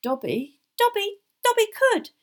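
A woman voicing a small, nervous, devoted male house elf for a storybook, squeaky, deferential and excitable, with broken grammar. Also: measured pace, heavily acted. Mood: fearful.

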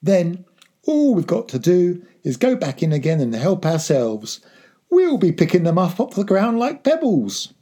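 A reader acts out a storybook poacher father who lives for the thrill. He is excitable and fond, pitched up from the narration, with a husky, breathy edge. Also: drawn-out words, full character voice. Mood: breathless triumph.

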